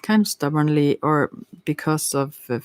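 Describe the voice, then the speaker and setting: A non-native English speaker giving a casual, unscripted tarot reading in a hesitant, halting way, searching for words. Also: medium pitch, smooth, no room sound. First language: not English. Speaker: tarot reader.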